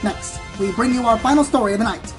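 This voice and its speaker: A woman's voice: fairly high pitch, fast talker, lightly acted. A woman playing a sensationalist pony news anchor in a radio play, theatrical and insistent. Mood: announcing urgently. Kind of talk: melodramatic newscast in an amateur radio play. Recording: music under the voice.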